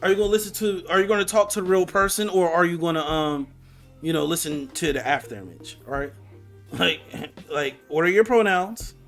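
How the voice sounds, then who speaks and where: Guy in his 20s, kinda high voice, annoyed sweary slangy online chat host, bit of music in back. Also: a little fast, a bit bright. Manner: exasperated, confrontational, slangy and sweary. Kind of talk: casual argumentative live conversation.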